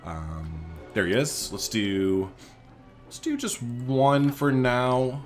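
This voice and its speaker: A man's voice: medium pitch, slow pace, faint music behind. A man hosting a gaming video, chatting casually and playfully as he thinks aloud through his play. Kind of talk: casual let's play commentary.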